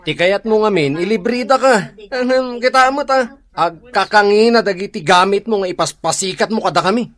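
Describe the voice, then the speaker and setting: A man's voice: high voice, moderate pace, a bit bright. A man with an Ilocano accent playing a frank co-worker fed up with a moocher, admonishing him in a comic radio drama.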